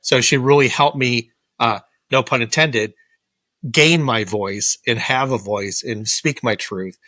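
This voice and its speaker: Man with bright, clear-cut radio voice. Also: a little slow, no echo. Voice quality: low-timbred, radio-style voice. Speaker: podcast co-host being interviewed. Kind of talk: casual conversational explanation.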